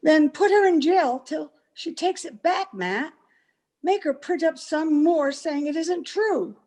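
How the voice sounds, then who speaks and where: An actress playing a sharp-tongued, protective Old West woman in a radio western, blunt and emphatic in manner. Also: high-pitched, expressive, takes it slowly. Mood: alarmed, insistent.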